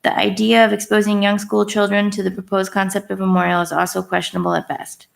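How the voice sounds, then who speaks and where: Woman with a very gravelly voice, measured and formal, reading public comment at a podium. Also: medium pitch, flat delivery. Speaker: resident giving public comment. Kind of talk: reading a prepared statement. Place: town hall meeting room.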